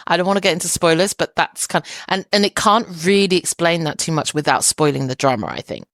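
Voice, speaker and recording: A woman's voice: fairly low pitch, lively intonation, a little fast, a bit bright, dry recording, studio quality. A woman guest on a podcast in casual chat, thoughtful and hedging, laughing easily.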